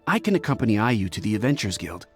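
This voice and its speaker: A polite, dutiful apprentice. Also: male voice, lowish voice, big pitch swings, very fast. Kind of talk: game voice acting. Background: game music.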